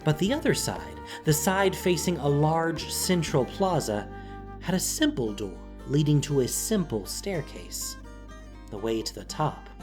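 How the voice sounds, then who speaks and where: A man narrating a scripted fantasy audio drama in a deliberate, dramatic way with weighty pauses. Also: medium pitch, darkish tone. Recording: faint music behind.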